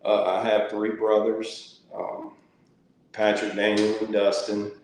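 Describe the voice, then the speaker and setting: A man's voice: on the low side, little pitch movement, slow pace, darkish tone, a little hiss or hum. A middle-aged man, chatting casually as an interview guest in a folksy, plainspoken way. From rural Louisiana, United States. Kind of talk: casual reminiscing conversation. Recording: very echoey, reverberant room sound.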